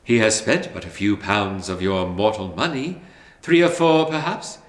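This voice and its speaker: A man doing a calm, gentle spirit. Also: fairly low pitch, normal speed, lightly acted. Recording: roomy echo.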